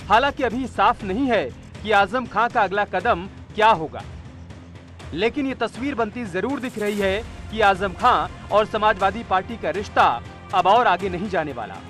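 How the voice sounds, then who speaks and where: A man's voice: sits high, moderate pace, fairly bright tone, soft background music. A man reading a scripted news report voice-over in a dramatic, rhetorical way.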